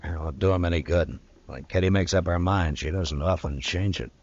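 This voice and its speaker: An actor playing a steady, laconic American marshal in a radio western, speaking in a terse, dry, firm way. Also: male voice, sits low, normal speed. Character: laconic, steady male US marshal of the Old West. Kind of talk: 1950s radio western acting. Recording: dull vintage radio recording.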